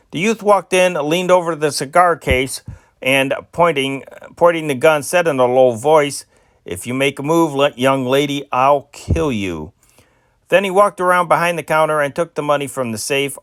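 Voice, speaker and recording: A man's voice: mid-range voice, fairly bright tone, dry recording, studio quality. A man reading old newspaper stories aloud as an amateur news reader, stumbling and correcting himself now and then.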